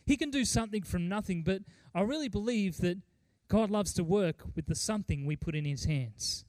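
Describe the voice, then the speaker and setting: A middle-aged man preaching as a pastor, speaking earnestly and emphatically, with deliberate pauses and repeated phrases. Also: sits high, a little mellow, no echo. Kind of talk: sermon with mission report and scripture reading.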